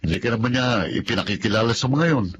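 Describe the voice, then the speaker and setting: A man's voice: mid-range voice, fast, a bit bright, lo-fi sound, slight background noise, lightly acted. An actor playing a grumbling, teasing young male best friend in a radio drama. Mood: sulky, teasing. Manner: sulky, complaining. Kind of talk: Filipino radio drama acting.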